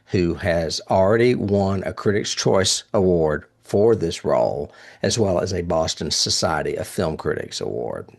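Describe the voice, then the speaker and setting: A man's voice: sits low, creaky. A man hosting a casual film review chat, speaking with enthusiasm and often chuckling. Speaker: podcast host reviewing horror films.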